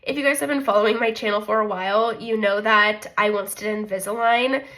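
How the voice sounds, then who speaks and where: A woman's voice: medium pitch, fairly even intonation, fairly quick, sharp tone. Congested, chatty young woman budgeting aloud. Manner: chatty, self-deprecating, thinks aloud with frequent likes. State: congested from a cold. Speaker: budgeting vlogger. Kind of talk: casual chat walking through a monthly budget.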